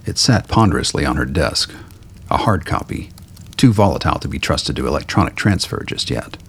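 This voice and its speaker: Very deep gravelly male narrator. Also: expressive, brisk. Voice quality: gravelly, husky. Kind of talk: scripted dramatic narration of fiction.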